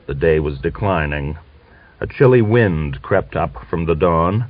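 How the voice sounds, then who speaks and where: Male audiobook narrator, low register, close and dry, on a slightly dull older recording. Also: expressive, a little slow, dry recording. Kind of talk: scripted literary narration.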